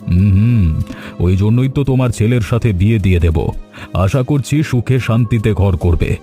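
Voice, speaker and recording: Cartoon patriarch, acted by a man: wealthy middle-aged merchant, proud and authoritative, dark soft timbre, faint music. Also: lowish voice, moderate pace, natural voice. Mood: resolved. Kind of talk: acted cartoon story dialogue.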